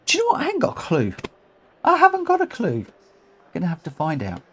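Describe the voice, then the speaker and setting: A man's voice: sits high, huge pitch swings, a little fast, fairly bright tone. A man with a cold and a runny nose streaming a racing game, thinking aloud in rambling commentary and correcting himself as he goes. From United Kingdom.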